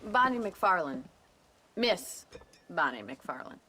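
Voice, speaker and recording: A woman's voice: on the low side, very animated, slow, bright, forceful tone, a little hiss or hum, exaggerated voice. An actress playing a blunt, wry young ranch woman in a video game, dry and direct. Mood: curious, teasing. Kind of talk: video game cutscene acting.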